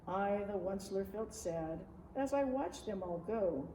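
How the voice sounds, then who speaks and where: A woman reading a rhyming children's story aloud in an expressive, measured, dramatic way. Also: medium pitch. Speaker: storybook reader. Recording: roomy, distant mic, faint noise.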